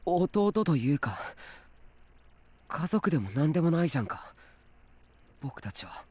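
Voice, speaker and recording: A man playing a headstrong, curious high school boy with an urgent, determined manner in a mystery visual novel. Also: big pitch swings, brisk, darkish tone, slightly rough, dry recording. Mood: annoyed. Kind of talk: Japanese visual novel voice acting.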